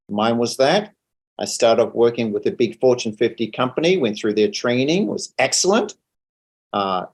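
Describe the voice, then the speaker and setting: A middle-aged man with a New Zealand accent talks conversationally as an interview guest, often repeating words as he finds his phrasing. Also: medium pitch. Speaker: interview guest, financial advisor.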